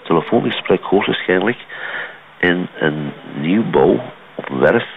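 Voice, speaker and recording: Flemish guy calling in with a tip, really deep voice, tinny noisy phone. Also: lively intonation, unhurried, creaky. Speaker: listener phoning in a tip. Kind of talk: spontaneous theorising.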